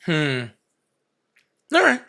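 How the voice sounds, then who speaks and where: A man's voice: fairly high pitch, wildly swooping pitch, very slow, creaky, pushed and bright. A man in his thirties hosting a live call-in stream, blunt and joking as he challenges callers. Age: 30s. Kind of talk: casual live call-in chat.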